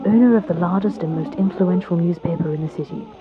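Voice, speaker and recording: A woman's voice: lowish voice, fast talker. A woman giving a wry, tongue-in-cheek scripted summary narration. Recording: tinny band-limited voice under music.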